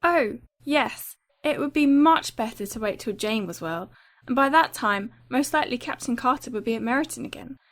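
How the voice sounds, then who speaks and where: A woman voicing a bold, high-spirited fifteen-year-old girl in a dramatized audiobook, eager and insistent. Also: expressive, moderate pace, a bit bright. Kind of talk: dramatized audiobook character reading.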